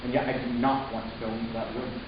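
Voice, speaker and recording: A man's voice: mid-range voice, little pitch movement, quick pace, natural voice. A man playing an anxious young narrator in a dramatic first-person reading, earnest and hesitant. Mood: uneasy narration. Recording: echoey room, distant mic, loud hiss, muffled.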